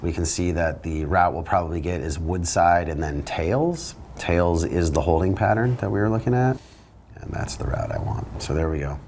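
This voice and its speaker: Deep-voiced man explaining like an instructor. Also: normal speed, a little mellow, a little hiss or hum. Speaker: flight instructor. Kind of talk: explanatory lesson talk.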